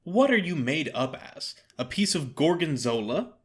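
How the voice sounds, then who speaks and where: A man's voice: on the high side, sing-song, moderate pace. A man voicing a genial stage comedian in an audio drama story, chummy, blunt and needling in manner. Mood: exasperated, mocking.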